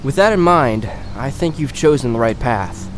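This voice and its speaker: A steady warrior mentor , played by a man, offers quiet reassurance in a fantasy audio drama. His voice sits at a middle pitch. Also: normal speed, a little hiss or hum, close to an everyday voice, rough recording. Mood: reassuring.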